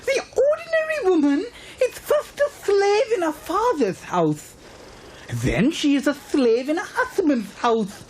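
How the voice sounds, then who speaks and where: A woman voicing a deferential, expressive maidservant in a cartoon, with a dramatic way of talking. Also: very high voice, unhurried, slight background noise, exaggerated voice. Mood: dismayed. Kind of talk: cartoon voice acting.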